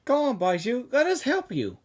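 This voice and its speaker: A woman giving casual, excitable gaming reaction commentary, slangy, repeating words for emphasis. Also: fairly high pitch, normal speed. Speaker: gaming reaction video host. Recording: game music faintly behind.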